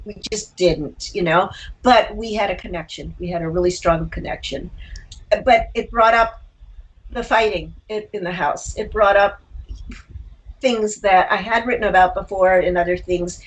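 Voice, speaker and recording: A woman's voice: medium pitch, normal speed, rough recording. A woman speaking as a writer being interviewed, conversational and reflective, feeling her way toward the right words. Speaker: interview guest, short story writer.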